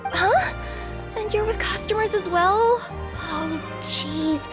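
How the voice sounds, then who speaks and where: A woman's voice: very high-pitched, slow pace. A woman voicing a flustered, apologetic young cat-spirit courier in a game. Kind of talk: game voice acting. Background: game music.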